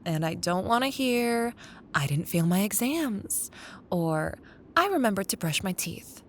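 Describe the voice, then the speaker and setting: A woman playing a caring, wistful young childhood best friend in an intimate, gently teasing ASMR roleplay. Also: very animated. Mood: tender. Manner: tender, unhurried, teasing. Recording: audible low rumbling background noise.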